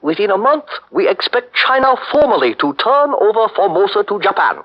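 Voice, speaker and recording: An actor playing a cold, hard-bargaining male statesman in a 1940s radio drama, speaking in a measured, unyielding way over an old broadcast recording. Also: sits high, big pitch swings, lo-fi sound. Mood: cold, firm. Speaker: Marquis Ito.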